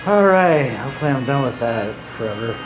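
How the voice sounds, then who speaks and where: A man's voice: medium pitch, animated, a little fast, poor audio. A man giving casual, off-the-cuff gameplay commentary as host of a gaming video, offhand and a little apologetic. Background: game music.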